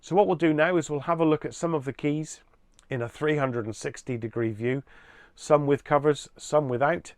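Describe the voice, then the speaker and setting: A man giving an explanatory product presentation in a measured, orderly way. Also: on the high side, a little mellow, dry recording, studio quality.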